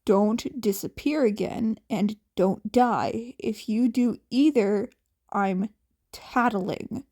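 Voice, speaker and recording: A woman voicing a blunt, stern teenage boy with a deadpan, grumbling way of talking in fan fiction dialogue. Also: mid-range voice, slow, pitched below the performer's own voice. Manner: deadpan, grumbling, plain-spoken.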